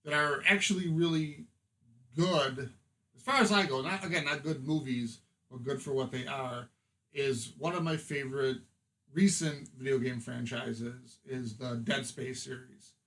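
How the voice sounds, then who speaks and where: A man's voice: medium pitch, fairly even intonation, a little slow, pushed and bright, poor audio. A man chatting as a podcast guest, explaining things in a casual, conversational way.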